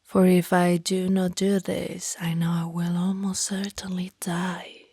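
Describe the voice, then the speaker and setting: An immortal vampire woman acted out by a female performer confides in slow, breathy whispers, tender and full of sorrow. Her voice sits fairly low, with archaic, formal phrasing. The sound is close and dry, in the manner of ASMR roleplay. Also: little pitch movement, dark tone, no room sound. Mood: anguished.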